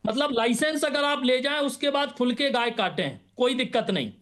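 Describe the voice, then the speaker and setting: A man's voice: extremely high pitch, expressive, moderate pace, a bit bright. A religious man on a TV debate panel, insistent and interrupting, pressing his questions. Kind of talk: heated TV debate.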